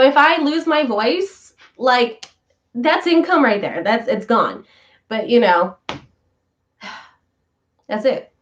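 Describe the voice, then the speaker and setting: A woman talks in a loose, rambling way as she hosts a morning chat for her viewers from home. Her voice sits a little high and sounds slightly stuffy from sinus trouble. The recording is muffled and lo-fi. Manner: chatty, rambling, animated. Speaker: vlog host, voice worker.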